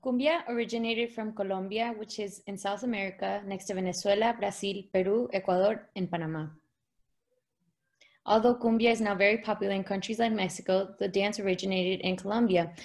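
A woman's voice: medium pitch, steady tone, fairly quick, a little mellow. Student presenter, woman, reads her slides plainly. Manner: reading from slides. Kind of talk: class presentation.

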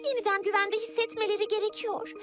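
A young cartoon heroine with a very high, childlike voice. She sounds earnest, caring and expressive, with prominent playful music behind her. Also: fast talker. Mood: thoughtful.

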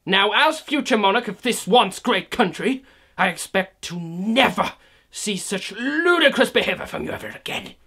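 A man doing a stuffy royal tutor in a comedy sketch, pompous and indignant, who drags out his words slowly and swings his voice up and down. The tone is bright and a little pinched. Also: high voice, lively intonation, husky, full character voice. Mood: stern scolding. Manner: indignant, scolding, shouts in outbursts.

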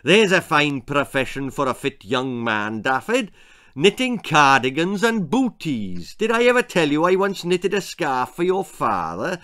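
Man putting on a croaky, rambling old granny. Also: a little high, big pitch swings, unhurried, a bit bright. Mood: rambling, reminiscing. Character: rambling, oblivious, deaf elderly Welsh granny.

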